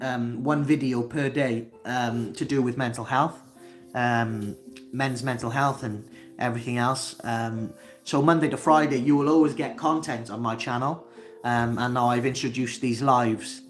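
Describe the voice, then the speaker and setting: Earnest Welsh man, halting and heartfelt. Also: medium pitch, steady tone, faint music behind. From Wales. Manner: earnest, halting, many fillers and restarts. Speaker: channel host. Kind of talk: solo livestream talk. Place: indoors, seated facing camera.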